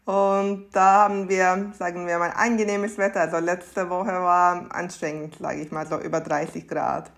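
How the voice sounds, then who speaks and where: A woman's voice: lowish voice, fairly even intonation, unhurried, gravelly. A woman in her thirties from Turkey with a Turkish accent, answering conversationally as a podcast guest and often giggling between phrases. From Istanbul, Turkey. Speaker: podcast guest, SAP consultant.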